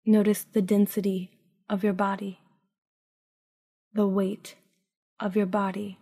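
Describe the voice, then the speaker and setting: A woman leads a guided meditation for sleep with a slightly low, mellow voice. Her intonation stays flat and even, and she speaks in a hushed, soothing way. She takes her time, leaving long pauses between scripted phrases. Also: breathy edge.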